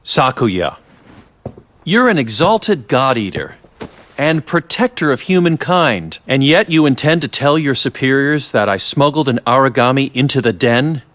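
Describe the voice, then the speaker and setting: An actor playing a calm, scheming research director in a video game, with measured, condescending politeness and slyly menacing manner. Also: male voice, mid-range voice. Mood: sly, composed. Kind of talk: English video game voice acting.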